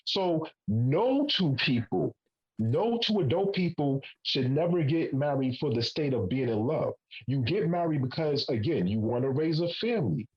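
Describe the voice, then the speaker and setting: A man's voice: a little high, expressive, moderate pace, lo-fi sound. A man in his forties hosting a podcast panel, making his point emphatically and swearing casually. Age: 40s. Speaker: podcast host.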